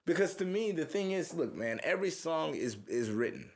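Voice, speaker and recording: A man's voice: a little high, normal speed. A young man from the Houston area, talking casually and profanely as a musician being interviewed, rambling through stories. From Houston, Texas area. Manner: relaxed, profane, rambling storyteller. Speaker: musician guest.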